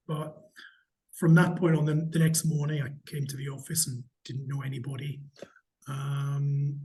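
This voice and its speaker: A middle-aged man from Newcastle with a slight Geordie accent, answering interview questions in a measured, candid way with frequent restarts. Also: medium pitch, little pitch movement, warm tone. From Newcastle, England. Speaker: hotel company chief executive, interviewee.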